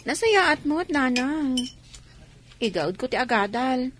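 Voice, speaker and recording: High, expressive young Ilocano student. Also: female voice, a little slow, soft background music. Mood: dutiful. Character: young female student, dutiful daughter, anxious about secret romance. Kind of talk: radio drama acting.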